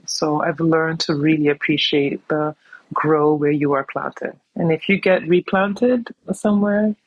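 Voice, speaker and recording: A woman's voice: sits low, fairly even intonation, a little slow, no room sound, dull audio. Woman, podcast guest, chatty and reflective, lots of "you know", dead dry recording. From New York City, United States. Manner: reflective, thoughtful, many "you know" fillers. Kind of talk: casual conversational interview.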